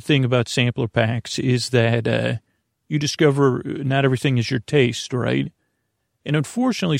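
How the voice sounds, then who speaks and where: A man hosting a sleep podcast in a rambling, halting bedtime monologue, with a creaky, gravelly voice. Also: medium pitch, a little mellow, dry recording, studio quality. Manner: meandering, halting, repeats and trails off. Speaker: podcast host.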